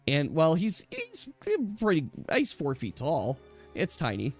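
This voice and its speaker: A man with a fairly high voice acts as game master for a tabletop game. He explains rules in a chatty, off-the-cuff way, pausing and restarting as he goes. Faint music plays behind him. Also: huge pitch swings, no echo.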